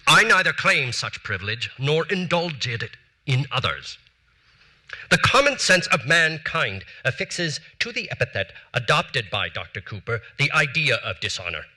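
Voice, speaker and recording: An actor in his forties reading letters as a proud, aggrieved statesman, in formal, measured, stiffly offended speech on a theater stage. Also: mid-range voice, big pitch swings, bright, forceful tone, exaggerated voice. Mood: indignant. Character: proud aggrieved male statesman demanding satisfaction.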